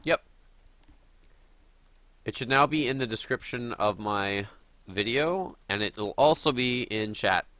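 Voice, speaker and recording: A man's voice: medium pitch, expressive, slow, pauses often, sharp tone, no room sound. A man with a cold, sniffling a little, chatting and thinking aloud as he streams a game live. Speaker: gaming streamer.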